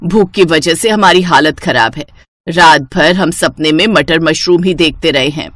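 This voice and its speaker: Woman voicing a bossy, impatient older mother-in-law, pitched down. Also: unhurried, a bit bright, dry recording. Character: bossy, food-craving older mother-in-law in family cartoon.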